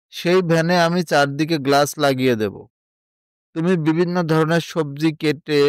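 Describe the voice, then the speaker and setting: Man voicing earnest, encouraging village suitor, clear voice. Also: on the high side, no echo, studio quality, lightly acted. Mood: instructing. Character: Banmali, kind supportive village man, practical suitor.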